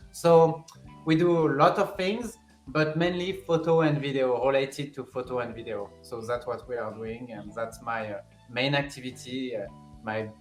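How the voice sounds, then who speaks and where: A man's voice: medium pitch, roomy sound. A young man from France with a strong French accent, a guest on a podcast chatting in a friendly, talkative way, full of "like" and "you know". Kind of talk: casual podcast interview.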